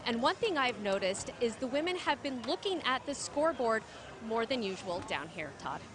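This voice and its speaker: A woman's voice: a little high, big pitch swings, normal speed. A woman giving a live field report from the deck of a skate event, upbeat and polished. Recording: crowd noise behind.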